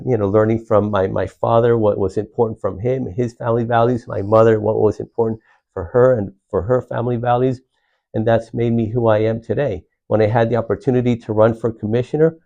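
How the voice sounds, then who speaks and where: Middle-aged man, earnest interview answers, frequent restarts, warm dark tone, dull recording. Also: fairly low pitch, creaky. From Puerto Rico. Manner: earnest, repeats words and restarts with many "uh"s. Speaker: county commissioner.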